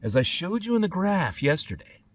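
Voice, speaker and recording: A middle-aged man speaking an unscripted podcast monologue in emphatic, halting phrases with pointed pauses. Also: high-pitched, very animated, no echo, far from the mic. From born in Trinidad and Tobago, lived in California. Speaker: podcast host.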